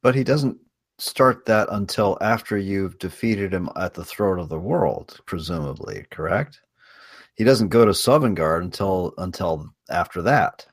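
Very low-voiced man in casual discussion. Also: lively intonation, unhurried, breathy edge, no echo, clean studio sound. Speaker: podcast roundtable panelist. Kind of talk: casual chat, questioning.

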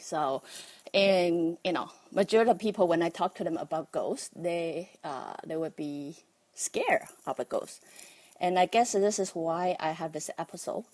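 A woman from Taiwan with a Taiwanese accent, talking casually on a livestream, halting with many ums and nervous laughs. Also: fairly low pitch. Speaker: livestream host, life coach.

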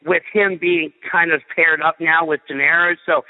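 A man's voice: fairly high pitch, flat delivery, normal speed. A man giving blunt, sarcastic opinions as a radio guest on a phone call. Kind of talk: opinionated sports talk. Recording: tinny phone line with long reverb.

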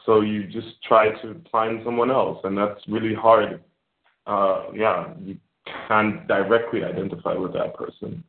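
A man from Germany, a filmmaker guest, talking reflectively in a casual interview with many pauses and fillers. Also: lowish voice, little pitch movement. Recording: phone-like echoey remote call.

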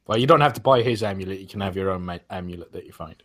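A man's voice: sits low, very fast, a bit bright, no echo, studio quality. A man on a podcast panel, discussing a video game in a casual way. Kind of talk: casual roundtable chat about a video game.

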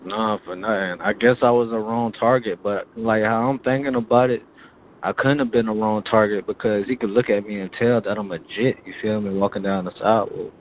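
A man from Miami telling a rambling, profane story in casual chat, with a halting manner. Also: fairly low pitch, fairly even intonation, a little hiss or hum. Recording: phone-like band-limited audio.

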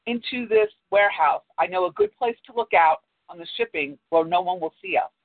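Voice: mid-range voice, brisk, dry recording, pitched below the performer's own voice, lightly acted. Cheerful young porter lad, read out by a storyteller over a tinny line; plain reading, barely acted. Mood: earnest.

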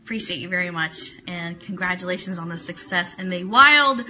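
A woman's voice: fairly low pitch, expressive, normal speed, bright, forceful tone, rough recording. A woman hosting a casual live-streamed talk show, chatting enthusiastically, greeting viewers and reading aloud. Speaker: host of an Elvis talk show. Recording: music playing in the background. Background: Christmas CD music.

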